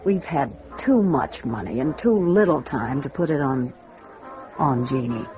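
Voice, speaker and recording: A guarded, well-to-do American wife and mother speaks haltingly, with wounded restraint. It is vintage radio drama acting with a dull, muffled broadcast sound. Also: extremely low pitch, expressive, music in the background. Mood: regretful.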